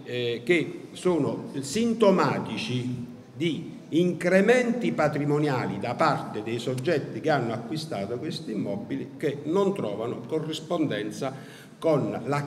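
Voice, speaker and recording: A middle-aged man giving a formal conference talk at a desk microphone. He speaks in a measured way, pausing often with fillers. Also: medium pitch, darkish tone, a little hiss or hum. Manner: measured, hesitant with frequent fillers. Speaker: tax agency official speaking at a conference. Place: large ornate hall with wooden paneling. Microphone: gooseneck desk microphone. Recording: roomy hall echo, faint ambience.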